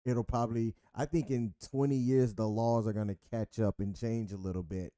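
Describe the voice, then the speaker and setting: Man, thirties, easygoing co-host, dark mellow tone, dry close sound. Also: lowish voice, steady tone, moderate pace, dry recording. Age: 30s. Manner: easygoing, laughs at himself. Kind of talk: casual couple chat.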